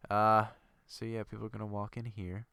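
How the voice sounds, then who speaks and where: A man's voice: on the low side, completely flat delivery, moderate pace, creaky, bright, forceful tone, smooth, no room sound. A young man with a stuffy nose from a cold, rambling and thinking aloud with lots of fillers while commenting on his game. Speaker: gaming video creator. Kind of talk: casual gameplay commentary.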